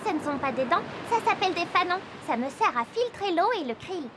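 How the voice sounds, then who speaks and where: Cheerful high-pitched cartoon whale. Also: female voice, expressive, a little fast, noisy background. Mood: friendly, cheerful. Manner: cheerful, explanatory. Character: friendly female blue whale, eager to explain herself. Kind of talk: children's cartoon acting.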